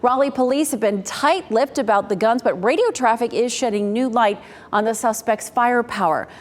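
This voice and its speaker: A woman's voice: fairly high pitch, moderate pace, long reverb tail. A woman with an American accent reading scripted television news as an anchor.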